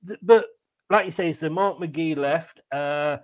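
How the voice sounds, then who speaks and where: A man's voice: a little high, unhurried. An English man interviewing a former footballer, asking long, rambling, friendly questions. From England. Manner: chatty, rambling questions with light laughs. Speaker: interviewer, host. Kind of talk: conversational interview.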